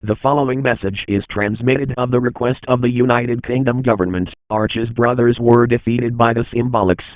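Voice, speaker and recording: A man's voice: mid-range voice, steady tone, moderate pace, hardly any pauses, no echo, soft background music, lightly acted. A man voicing a formal, impassive emergency alert announcer reading a scripted broadcast message. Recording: thin phone-like band.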